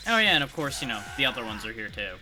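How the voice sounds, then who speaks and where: A man's voice: mid-range voice, very animated, racing. A man livestreaming a game with casual, dry, sardonic commentary. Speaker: game streamer. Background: game music.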